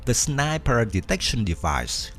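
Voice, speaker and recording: A man reading a scripted documentary narration at an even, measured pace. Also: medium pitch. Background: faint background music.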